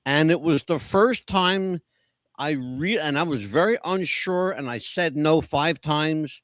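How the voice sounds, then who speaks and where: A man's voice: a little high, takes it slowly, fairly bright tone, no echo. Chatty man, dry close sound. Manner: chatty, storytelling, self-deprecating. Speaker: podcast co-host. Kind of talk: casual chat about favorite songs.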